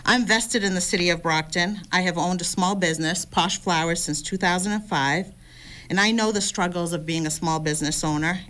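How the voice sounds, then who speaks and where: A woman's voice: fairly low pitch, fairly bright tone. Composed woman in her fifties. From Lebanon. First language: Lebanese Arabic. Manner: composed, reads a prepared text. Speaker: city councillor and candidate. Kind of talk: prepared debate opening statement.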